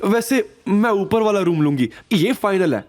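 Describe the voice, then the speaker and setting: A man voicing an innocent, excitable young woman with an expressive girlish delivery in an audio drama. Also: sits high, normal speed, pitched above the performer's own voice. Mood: playful, agreeing.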